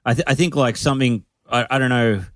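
A man rambling through a casual podcast chat and losing his train of thought partway. His voice is mid-pitched and sounds close and dry. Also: fast, no room sound. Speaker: podcast co-host.

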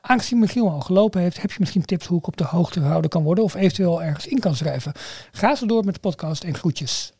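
High-voiced man on a podcast. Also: animated, fast talker, hardly any pauses, a little mellow, breathy edge, no echo, studio quality. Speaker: podcast co-host. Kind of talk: casual podcast chat.

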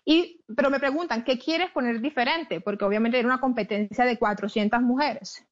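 A woman from Colombia with a Caribbean coastal accent, a plus-size model being interviewed, telling her story with animated restarts and English words mixed in. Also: on the high side, a little fast, dull audio. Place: her home in the Netherlands.

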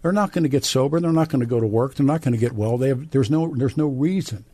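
Older male call-in radio host, opinionated and blunt, medium pitch, very dry studio mic. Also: fast talker, mellow, no echo. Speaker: radio talk show host. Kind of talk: live call-in talk radio.

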